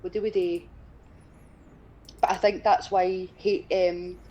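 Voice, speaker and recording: A woman's voice: on the low side, flat delivery, slow pace, warm tone, slight background noise, low-quality recording. A woman in her twenties with a Scottish accent, chatting casually and bluntly as a stream co-host, swearing freely. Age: 20s. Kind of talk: casual chat during a gaming stream.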